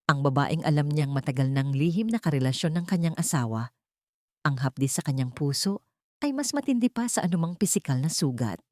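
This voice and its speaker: Low-toned woman narrating dramatically. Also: lively intonation, a little fast, dark tone, no room sound, studio quality. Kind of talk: dramatic scripted story narration.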